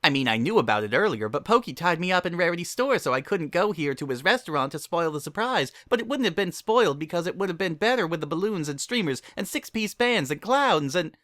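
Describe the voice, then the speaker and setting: A narrator voicing an excitable, chatty young female cartoon party pony with a squeaky, girlish, bubbly delivery. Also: quick pace, hardly any pauses, dry recording, studio quality. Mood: excited. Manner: bubbly, gushing, emphatic.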